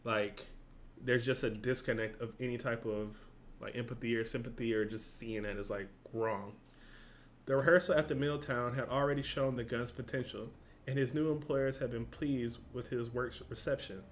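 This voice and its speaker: A man's voice: mid-range voice, steady tone, moderate pace. Casual slangy man, noisy recording. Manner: informal, slangy, swearing, exclamatory asides. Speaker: reaction video host. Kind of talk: reading a story aloud with casual reactions. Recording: clear background noise.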